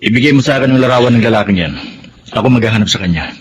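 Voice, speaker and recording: Guy acting as protective big brother in a drama, medium pitch, earnest, little background noise. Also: rapid-fire, lightly acted. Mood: calm, reassuring. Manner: reassuring, earnest. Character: protective, level-headed older brother. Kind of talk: Filipino audio melodrama acting.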